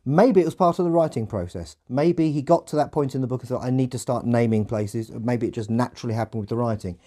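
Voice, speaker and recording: Man chatting, softly mellow voice. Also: medium pitch, fast talker. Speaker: podcast host. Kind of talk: casual discussion.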